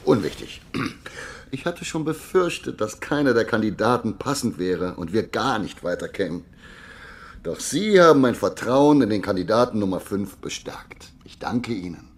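A man's voice: lowish voice, lively intonation, normal speed, breathy edge. An actor in a radio drama playing a cold, curt, calculating chief of an intelligence agency, a man who does not yield. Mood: cool, calculating. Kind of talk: modern radio drama acting. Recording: long reverb, noisy ambience.

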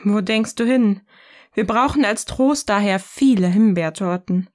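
A woman acting a self-assured, provocative partner in scripted audio-novel dialogue, speaking in a poised, deliberate way. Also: medium pitch, darkish tone, natural voice.

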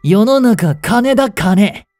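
A man acts out a young kidnapper from a romance audio drama, bossy and hungry for money. His mellow voice moves through wide swings of pitch as he taunts in rough, casual speech. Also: lively intonation, slow pace, no room sound, soft background music. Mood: scornful.

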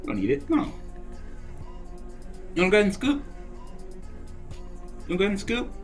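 A man's voice: high voice, sing-song, fairly quick, faint music behind. A young man venting over a card game he just played, swearing and laughing in exasperation as he comments. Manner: ranting, profane, laughing in exasperation.

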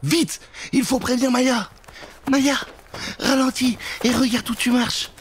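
An excitable young sister from a scary storybook, as a man puts her on. The voice is thin and high, urgent and full of feeling. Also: a little slow, slight background noise. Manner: high, urgent, breathless exclamations. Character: frightened, excited little girls, two sisters fleeing an ogress.

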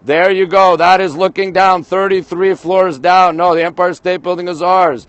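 A man from Montreal, Canada hosting a casual livestream from a high-rise balcony at night, greeting viewers by place and reading comments aloud. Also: steady tone, normal speed, a bit bright, no room sound, highs cut off. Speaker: livestream host. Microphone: phone camera held by speaker.

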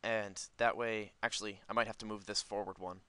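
A man with a fairly low, bright voice talks through a video game in a casual, unscripted way. He rambles and thinks aloud as he goes. The sound is dry and close. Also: steady tone, a little fast, smooth, dry recording. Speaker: gaming video host. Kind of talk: casual, unscripted gameplay commentary.

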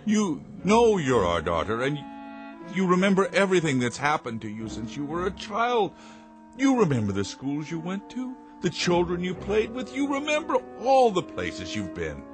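An actor playing a tender, earnest older inventor father in a radio drama, placating and halting. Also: high-pitched, wildly swooping pitch, over music. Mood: earnest pleading.

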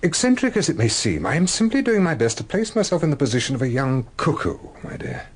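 An actor playing an eccentric older English intellectual, halting and donnish, in a radio drama. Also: male voice, on the high side, sing-song, breathy edge. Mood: musing. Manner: halting, donnish, rhetorical questioning.

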